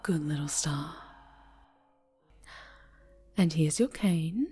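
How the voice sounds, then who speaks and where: A woman performs a possessive dark superheroine for an ASMR roleplay. The voice is low-leaning and mellow, drawn out very slowly and often sinking into whispers. The manner is sweetly tender yet quietly menacing. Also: heavily acted. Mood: coaxing, satisfied. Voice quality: soft, breathy, often whispered. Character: possessive, sinister female superhero, a sweetly menacing obsessive captor.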